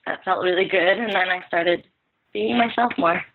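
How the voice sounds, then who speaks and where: Young Filipino-born woman, podcast guest, hesitant and halting, phone-quality audio. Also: on the low side. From Philippines. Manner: soft-spoken, hesitant with many fillers and restarts. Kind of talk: casual interview answers. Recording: tinny phone-line sound.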